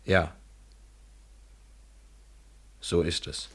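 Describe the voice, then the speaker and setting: An actor playing a world-weary, detached lover in an intimate German radio play, restrained and brooding. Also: male voice, very slow, fairly bright tone. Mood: subdued farewell. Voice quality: low, husky.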